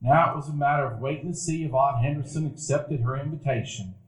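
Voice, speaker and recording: A man's voice: mid-range voice, quick pace. A middle-aged man narrating a memory with wry, reflective storytelling in a live stage radio play. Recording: echoey live theater room.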